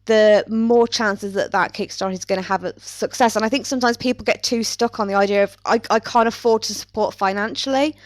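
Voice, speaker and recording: A woman's voice: mid-range voice, steady tone, brisk, few pauses, no echo. A woman speaking in an articulate, considered way as a panellist in a casual podcast chat.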